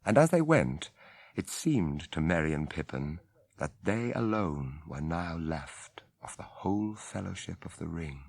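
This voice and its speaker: An actor with an English accent as a grave storyteller, narrating a radio drama in a measured, formal way. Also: male voice, low voice, very animated, vocal fry, a little mellow, no echo, exaggerated voice.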